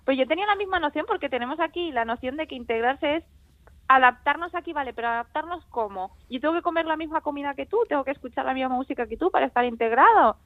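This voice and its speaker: A young woman from Spain, an author and illustrator, talking in a lively way and laughing often as a radio guest on the phone. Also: high-pitched, fast talker, no echo. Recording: tinny phone line.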